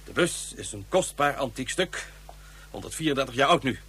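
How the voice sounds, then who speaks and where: A pompous male official in a radio play. He speaks formally and ceremoniously, and his voice is slightly husky. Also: medium pitch, lively intonation, moderate pace, fairly bright tone.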